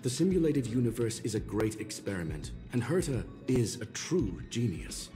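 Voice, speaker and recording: An actor playing a courteous, rational robot scholar in a video game, calm and regretful, with formal, measured, precise diction. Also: male voice, mid-range voice, faint music behind, close to an everyday voice.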